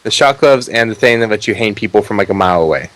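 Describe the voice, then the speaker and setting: A man's voice: on the low side, animated, quick pace, fairly bright tone, no room sound. A man hosting a podcast, chatting casually about video games in a blunt, sarcastic and critical way. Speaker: podcast host. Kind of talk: casual video game review chat.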